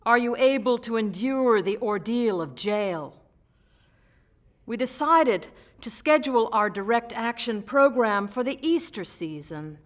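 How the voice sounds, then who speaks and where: A woman reading a historic letter aloud as scripted text. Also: on the high side.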